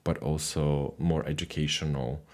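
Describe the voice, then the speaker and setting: A man hosting a live call-in language show, casual and halting, with many fillers and restarts. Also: very deep voice, flat delivery, a little mellow.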